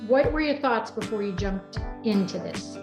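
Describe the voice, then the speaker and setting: A woman's voice: mid-range voice, animated, normal speed. A middle-aged woman hosting a casual group chat in an encouraging, informal way. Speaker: host. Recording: roomy echo, faint music.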